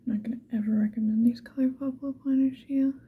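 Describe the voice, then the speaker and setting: A woman speaking mostly in a breathy whisper, chatting casually to the viewer in an ASMR get-ready style. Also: high voice, fairly even intonation, fast, narrow, tinny audio, slight background noise. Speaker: ASMR video creator.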